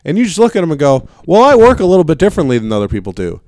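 Stuffy nasal guy, kinda high voice, ranting and swearing on a podcast. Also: wildly swooping pitch, rapid-fire, darkish tone, no room sound. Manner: ranting, profane, gets shrill when angry. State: stuffy nose from a cold. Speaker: podcast co-host. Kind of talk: casual opinionated chat.

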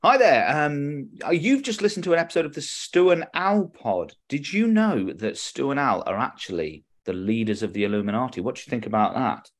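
A man's voice: medium pitch, very animated, a little fast, hardly any pauses. Jokey British man chatting on a podcast. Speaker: podcast co-host. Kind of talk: casual comedic chat.

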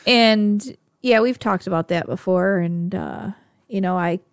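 A woman's voice: mid-range voice, unhurried, dry recording, clean studio sound. Upper Midwest woman, candid podcast co-host. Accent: northern Upper Midwest American. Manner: candid, frequent "like" fillers, profane. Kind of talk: casual podcast chat.

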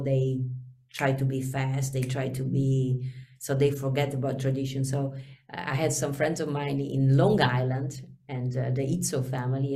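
A woman with a low voice and a strong Italian accent chats as a guest in an interview. Her tone has a gravelly edge, and her English is halting, with many fillers while she searches for the right word. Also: dark tone. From Italy. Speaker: guest singer. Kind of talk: casual interview chat.

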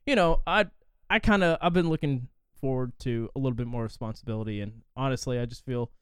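A man's voice: medium pitch, fast talker, fairly bright tone, clear, resonant tone, no echo, clean studio sound. Rambling man in his twenties. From Indiana. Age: 20s. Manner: rambling, profane, frequent fillers. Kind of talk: casual chat.

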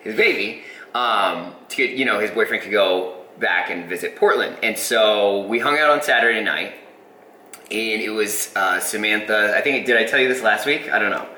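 A man's voice: on the low side, moderate pace, sharp tone. A young man co-hosting a podcast in casual chat, rambling through a story with hesitant fillers. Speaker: podcast co-host. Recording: echoey room, faint hiss.

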